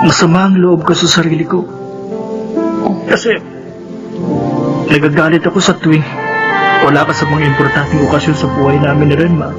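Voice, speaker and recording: Man acting sensitive Filipino son, lightly high-pitched. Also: natural voice. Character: sensitive, guilt-ridden son of a working mother. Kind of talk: Filipino radio drama acting. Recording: dull radio sound under loud music.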